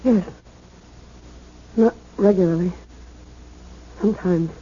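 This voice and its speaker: An actress playing a high-strung, insistent, tearful young woman in a vintage radio mystery drama. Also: takes it slowly, warm tone, husky. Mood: sad, subdued. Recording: dull vintage radio recording.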